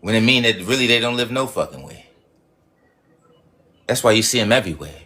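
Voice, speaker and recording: A man's voice: on the low side, lively intonation, brisk, sharp tone. A man hosting a livestream who talks in a rambling, preachy way, swearing often and restarting his sentences. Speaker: livestream host.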